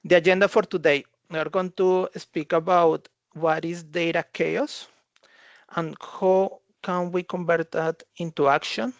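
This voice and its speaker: Halting male tech presenter, gravelly voice. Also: a little high, steady tone, no echo, dull audio. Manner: halting, repeats and rephrases phrases. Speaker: consultant presenting at a conference. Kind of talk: slide presentation talk.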